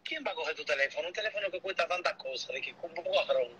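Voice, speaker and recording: A man's voice: a little high, rapid-fire, pushed and bright, muffled recording. A man chatting casually over a noisy speakerphone call. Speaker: person receiving a phone call. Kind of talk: casual chat.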